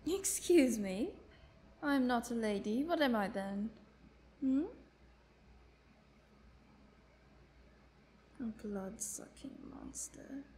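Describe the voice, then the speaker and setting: A woman's voice: fairly high pitch, lively intonation, takes it slowly, darkish tone. A woman playing a chatty, playful vampire prisoner who teases and tells her woes theatrically in a roleplay monologue. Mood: playful, indignant. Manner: playful, talkative, teasing, theatrical. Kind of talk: dramatic roleplay monologue addressed to the listener.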